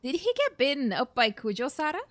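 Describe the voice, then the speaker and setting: A woman's voice: high voice, very animated, fairly quick, no room sound. A woman streamer giving casual, blunt, off-the-cuff reactions while playing a video game. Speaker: gaming streamer. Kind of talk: casual let's play reaction commentary.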